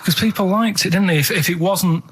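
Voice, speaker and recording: A man with a slightly high voice, co-hosting casual radio chat. He rambles through stories in a matter-of-fact way, checking in with little tags as he goes. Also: fairly quick, a bit bright, breathy edge. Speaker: radio show co-host.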